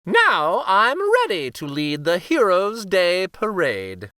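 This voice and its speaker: A jumpy, pampered king from a children's storybook, played by a man. His voice is raised and bright, swinging widely between whining and imperious command. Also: high voice, wildly swooping pitch, slow, clear, resonant tone, dry recording, clean studio sound, pitched above the performer's own voice, heavily acted. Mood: triumphant. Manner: whiny, exclaiming, imperious.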